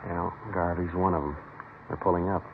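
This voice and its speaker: An American actor playing a terse, matter-of-fact police detective in a 1950s radio crime drama. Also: male voice, deep voice, moderate pace, creaky, a little hiss or hum. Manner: deadpan, clipped, matter-of-fact. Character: terse, businesslike male police detective narrating a case. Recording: muffled vintage radio recording with music.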